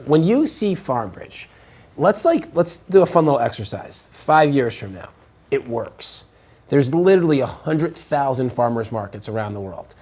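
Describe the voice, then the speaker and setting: A man hosting an online tech pitch show, talking like an energetic salesman who repeats his words. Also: breathy edge. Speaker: show host.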